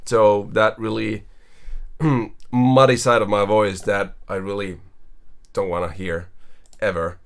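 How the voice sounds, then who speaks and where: A young man with a low voice, casually explaining how he mixed his own vocals, rambling with fillers. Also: steady tone, takes it slowly, gravelly, long pauses. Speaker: singer and mixing engineer. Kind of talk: walkthrough of a vocal mix.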